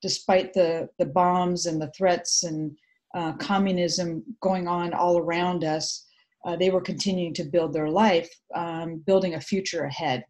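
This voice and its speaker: A middle-aged woman from Vietnam, Vietnamese her first language, telling her story thoughtfully in a video call interview with many pauses. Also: lowish voice, fairly even intonation, a little mellow. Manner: reflective, hesitant with many fillers. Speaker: guest. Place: home room indoors. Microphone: video call webcam.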